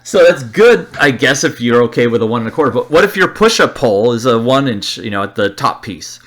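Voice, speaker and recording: A man's voice: medium pitch, fairly bright tone. A man giving an informal slide-show review off the cuff, blunt and plain-spoken, rambling and repeating words as he thinks aloud. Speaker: presenter of an antenna review.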